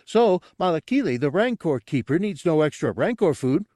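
A man voicing a dim-witted, excitable, childlike alien guard who speaks eagerly and emphatically in broken, simple grammar, in a storybook reading. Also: a little high, normal speed, no echo, studio quality, voice pushed up. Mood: triumphant.

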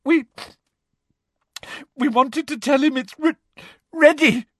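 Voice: mid-range voice, animated, measured pace, sharp tone, dry recording, pitched above the performer's own voice. A performer voicing a distressed teenage schoolgirl in an audiobook, tearful and stammering but defiant. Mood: choked, wailing. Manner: sobbing, stammering, gasping delivery.

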